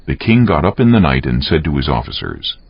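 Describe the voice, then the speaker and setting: A man's voice: rumbling low, huge pitch swings, brisk, gravelly, slightly rough, no room sound. A man narrating a dramatized Bible audiobook in a scripted, dramatic storytelling style. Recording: dull, muffled audio.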